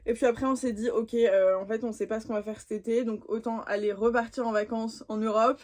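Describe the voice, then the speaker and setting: A woman's voice: a little high, fairly even intonation, fairly quick, a little mellow. Young woman chatting casually. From France. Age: young adult. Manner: informal, slangy. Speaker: vlogger. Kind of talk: casual chatty makeup vlog.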